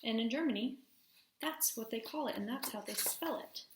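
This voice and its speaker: A woman teacher reading a storybook aloud to children, expressively, pausing to put questions to them. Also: on the high side, noisy background. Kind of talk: storybook read-aloud with class discussion. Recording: echoey room.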